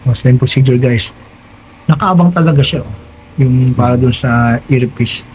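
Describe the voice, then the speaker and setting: A man's voice: mid-range voice, measured pace, a little mellow, slight background noise, rough recording. A Filipino man hosting a casual hands-on tutorial vlog, chatting directly to his viewers. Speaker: vlogger hosting an unboxing and installation.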